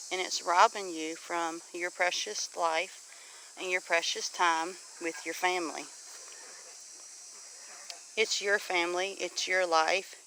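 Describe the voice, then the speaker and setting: A woman's voice: fairly low pitch, background noise. A middle-aged woman with a Southern US accent gives an informal, preachy monologue to viewers, earnest and exhorting, repeating phrases for emphasis. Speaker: homesteading vlogger. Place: outdoors on a homestead.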